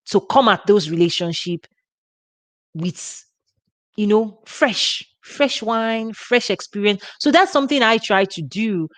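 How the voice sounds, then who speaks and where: A woman's voice: medium pitch, lively intonation, unhurried, no echo. A woman with a Nigerian accent, giving an informal teaching talk for her ministry in a chatty way with frequent restarts. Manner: conversational, with frequent restarts and laughs. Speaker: ministry host.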